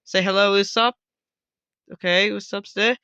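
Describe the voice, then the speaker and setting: A man with a high, smooth voice gives a casual, off-the-cuff tutorial. He talks loudly and wanders, often correcting himself. The sound is close and dry but dull and lo-fi. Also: steady tone, normal speed, no room sound. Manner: loud, rambling, self-correcting. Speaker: host of a card game tutorial video. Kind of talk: casual unscripted how-to explanation.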